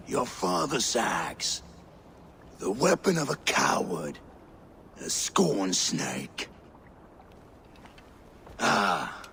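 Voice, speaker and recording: A gravelly, gloating warlord. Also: male voice, lowish voice, fairly bright tone, slight background noise, full character voice. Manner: taunting, slow and gloating. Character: cruel older male warlord, mocking and menacing. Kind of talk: video game cutscene acting.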